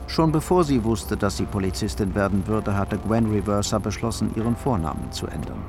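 A man's voice: lowish voice, animated, fairly quick, gravelly, music in the background. A man reading scripted narration as the storyteller of a radio crime drama.

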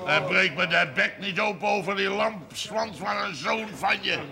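A man's voice: sits high, moderate pace, creaky, crowd noise. An actor playing an indignant village man in a Dutch period TV drama, speaking coarsely and confrontationally. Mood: angry, indignant. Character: indignant, combative village man defending his honour. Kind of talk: 1970s Dutch television period drama acting.

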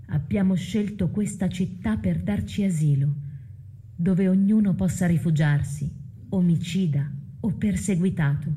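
A woman playing a solemn chorus of citizens in a theatrical radio drama, measured and admonishing. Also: on the low side, dark tone, breathy edge.

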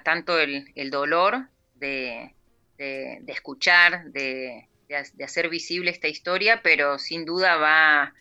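A woman's voice: low-pitched, pushed and bright, clear, resonant tone, highs cut off. A woman historian, speaking thoughtfully in a radio interview with many restarts. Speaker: local historian.

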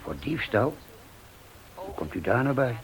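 An actor playing a sheepish, hesitant working-class family man, speaking haltingly in a radio play. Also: medium pitch, very animated, rough recording. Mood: defensive, halting. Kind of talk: Dutch radio play acting.

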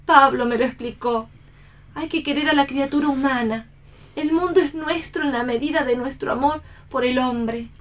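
A woman's voice: high voice, normal speed, fairly bright tone. An actress in a radio play voicing an elegant, privileged young woman disillusioned in love, melancholy and theatrical. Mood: resigned, regretful.

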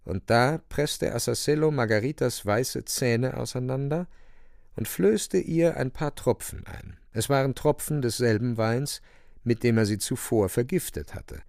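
A male narrator tells a dramatic story in a solemn, theatrical manner. His mid-pitched voice has a gravelly creak and sits close and dry, with no room around it. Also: lively intonation, measured pace, darkish tone, no echo. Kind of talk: dramatic literary narration for a radio play.

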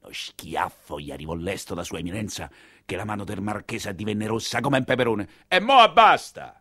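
A man with a Roman accent narrating a monologue as an actor, theatrical and expressive, in dialect storytelling style. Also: lowish voice, fairly quick, husky, no echo, studio quality.

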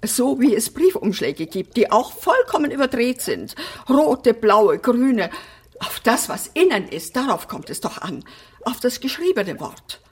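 A woman's voice: on the high side, big pitch swings, a little slow, slightly rough, exaggerated voice. An actress playing an eccentric, chatty mother in a radio play, rambling and exclamatory. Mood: exasperated, fussing. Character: eccentric, chatty mother fussing over pet fish. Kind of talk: radio drama acting.

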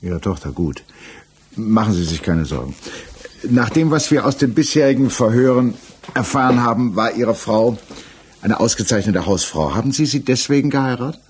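A man acting a composed, persistent examining magistrate in a 1960s German radio crime drama, questioning in a measured, official way. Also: medium pitch, expressive, slight background noise, natural voice. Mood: probing. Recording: 1960s mono radio production.

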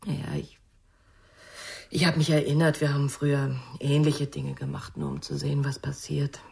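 A woman's voice: sits low, flat delivery, normal speed, creaky, darkish tone. An actress playing a curious, candid girlfriend of an investigator in a radio crime drama, conversational and questioning. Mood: curious, probing.

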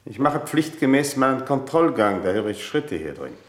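An actor playing a formal, obsequious servant with quiet menace, speaking in a stilted, overly polite way in a German radio play. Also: male voice, medium pitch, sing-song, normal speed, natural voice. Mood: dutiful, formal. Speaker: household servant.

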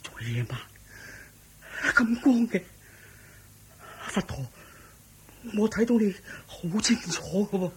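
An actor playing an earnest, anxious young monk with a husky voice and fretful manner, in Hong Kong Cantonese radio drama style. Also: male voice, sits high, big pitch swings, takes it slowly, long pauses, slight background noise, full character voice. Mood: surprised, confused.

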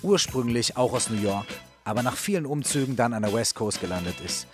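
A man's voice: medium pitch, lively intonation, fairly quick. A man co-hosting a music show in a casual, colloquial and emphatic way. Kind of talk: casual conversational music commentary. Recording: voice over loud music bed.

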